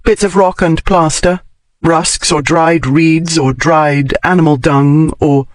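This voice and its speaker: A man's voice: fairly high pitch, fairly even intonation, slow, no echo. A man voicing a bold, curious scholarly woman in an audiobook, with precise, bookish and insistent phrasing. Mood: curious, absorbed. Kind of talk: audiobook character dialogue.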